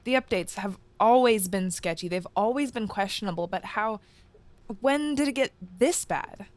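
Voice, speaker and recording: A woman plays a shaken young newcomer in an audio drama. She speaks softly and haltingly in a medium-pitched voice. The recording is close, with no room around it. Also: lively intonation, no room sound, close to an everyday voice. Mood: shaken, disbelieving.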